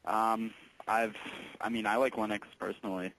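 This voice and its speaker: A man working as a tech support employee on a call, explaining things patiently in a halting, informal way. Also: fairly low pitch, level tone, fairly bright tone, a little hiss or hum, low-quality recording. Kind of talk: casual explanatory phone conversation.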